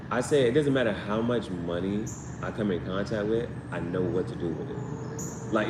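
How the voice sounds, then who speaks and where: A man hosting a casual live stream as a tarot reader, rambling with slangy asides and repeating himself as he goes. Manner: repetitive, tangential, slangy asides. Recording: roomy, distant mic, noisy background with vehicle rumble.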